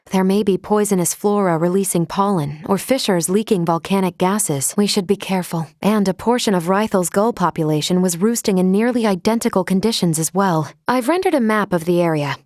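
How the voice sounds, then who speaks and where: An actress playing a calm, analytical woman science officer in a video game, speaking in formal, precise, measured and clinical diction. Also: medium pitch, no room sound, clean studio sound, natural voice. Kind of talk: scripted video game voice acting.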